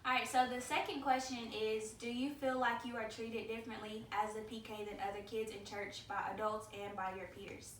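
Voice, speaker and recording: A young woman with a high, bright voice hosts a casual vlog, chatty and friendly as she leads the questions. She sounds like she is a little distant from the mic in an echoey room. There is a faint hiss behind her. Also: fairly even intonation, fairly quick, few pauses. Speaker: YouTuber host. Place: indoor room with guests.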